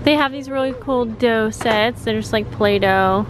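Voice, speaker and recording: A woman's voice: on the high side, measured pace, over music. A woman vlogging casually as she shops, talking informally and in a friendly way to her viewers. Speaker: vlogger, host. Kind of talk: casual chatty shop-along and haul commentary. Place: large discount store, indoors. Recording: noisy store ambience.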